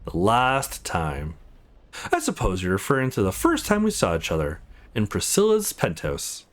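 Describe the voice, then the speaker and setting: A man voicing a cold, condescending wealthy businessman in a visual novel, measured and mocking. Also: fairly low pitch, big pitch swings, pitched below the performer's own voice. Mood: cool, condescending.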